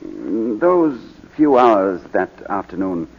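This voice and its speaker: An actor playing a middle-aged British baronet and war veteran on the witness stand, hesitant and clipped, in 1940s radio drama style. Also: male voice, lowish voice, big pitch swings, takes it slowly, husky, exaggerated voice. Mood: hesitant, reserved. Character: proud English baronet, war-wounded veteran testifying in court. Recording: muffled vintage 1940s radio broadcast.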